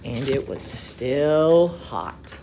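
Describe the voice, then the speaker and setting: A man reading a children's story aloud expressively, with deliberate, dramatic phrasing that swells and hushes. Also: mid-range voice, big pitch swings, a little hiss or hum. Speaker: storybook reader for schoolchildren.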